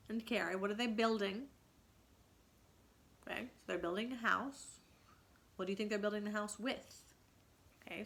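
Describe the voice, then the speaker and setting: A woman's voice: medium pitch, gravelly, bright, forceful tone. A woman reading a children's story aloud as a teacher, narrating expressively and asking explanatory questions.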